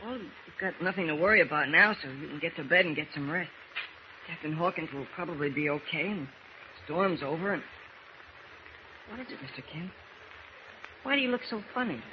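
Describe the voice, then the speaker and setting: Casting: adult male as earnest teen sidekick, high pitch, boyish, old radio serial with heavy hiss. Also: fairly quick, long pauses, natural voice. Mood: relieved. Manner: boyish, earnest, gee-whiz exclamations. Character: earnest, loyal teenage ship's boy Jimmy. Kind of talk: 1940s radio acting. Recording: muffled, noisy old radio recording.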